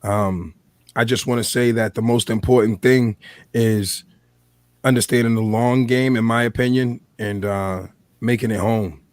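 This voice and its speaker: A man with an American accent and a slightly hoarse voice, hosting a podcast in casual, reflective talk. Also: medium pitch, fairly even intonation, unhurried, darkish tone, no room sound, clean studio sound. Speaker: podcast host.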